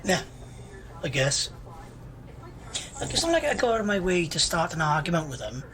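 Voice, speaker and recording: A woman's voice: on the low side, sing-song, moderate pace, a bit bright, slight background noise, rough recording. A woman acting in an audio drama as a bored, opinionated, argumentative young procrastinator who loves gossip. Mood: defensive. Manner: argumentative, grumbling.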